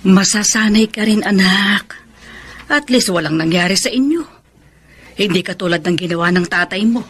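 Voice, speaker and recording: An actress plays a middle-aged mother in a radio melodrama. She is firm yet consoling and admonishes earnestly in a medium-pitched voice. Faint noise sits behind her. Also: moderate pace, close to an everyday voice. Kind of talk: radio drama acting.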